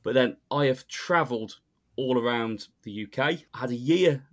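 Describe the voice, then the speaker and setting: Laughing friendly British guest. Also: male voice, medium pitch, normal speed, fairly bright tone. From northern England. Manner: friendly, laughs often, calls others "my man". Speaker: podcast guest. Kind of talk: casual chat.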